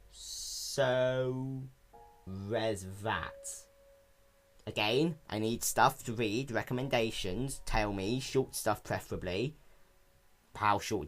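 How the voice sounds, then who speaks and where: A man delivers an off-the-cuff review in a casual, rambling manner. His pitch is highly animated, with exaggerated stress and mock-lordly flourishes. Faint music sits behind him. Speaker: fan fiction reader and reviewer.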